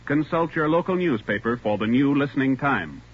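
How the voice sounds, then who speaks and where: A man's voice: mid-range voice, animated, brisk, slightly rough. An American man announcing on radio in a formal, scripted 1940s style. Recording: muffled 1940s radio broadcast.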